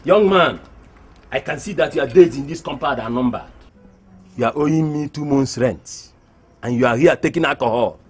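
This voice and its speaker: A man's voice: mid-range voice, moderate pace, husky, soft background music, close to an everyday voice. A man acting a stern, self-important landlord in a comedy drama, scolding in formal English with a Nigerian accent. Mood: indignant, threatening. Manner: scolding, pompous.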